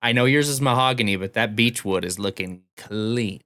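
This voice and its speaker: A man's voice: fairly low pitch, normal speed, fairly bright tone, dry recording, clean studio sound. A man chatting casually as a podcast co-host. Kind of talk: casual chat.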